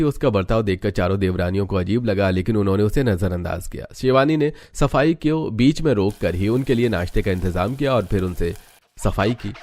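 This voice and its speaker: A man with a fairly low voice narrates a storybook tale. He reads from a script as the storyteller of a cartoon. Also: a little mellow.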